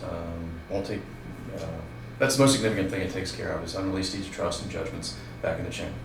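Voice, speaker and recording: A man's voice: deep voice, fairly even intonation, quick pace. A man giving informal, matter-of-fact expert answers as a seminar co-presenter, with concise confirmations and corrections. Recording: echoey room with clear background noise.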